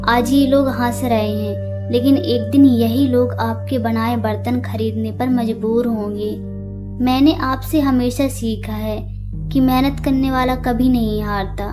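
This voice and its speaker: A woman's voice: a little high, fairly even intonation, measured pace, music in the background, lightly acted. A woman voicing a potter's brave, loving, earnest young daughter in a cartoon story. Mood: encouraging.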